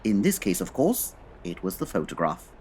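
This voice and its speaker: A brilliant, exasperated gentleman detective in a comedy audio drama. His diction is formal and pompous, with a slightly husky edge and big swings in pitch. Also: huge pitch swings, moderate pace, full character voice. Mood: smug, explaining.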